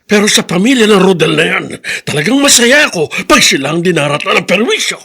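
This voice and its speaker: Radio drama role: bitter, gloating grandfather, elderly, emphatic, high and very animated. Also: fast, slightly rough, heavily acted. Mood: scornful. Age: older.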